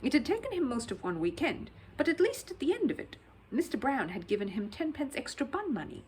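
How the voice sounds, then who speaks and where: A woman narrating a children's story in an expressive, scripted read-aloud style. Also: high voice, fairly quick, slight background noise.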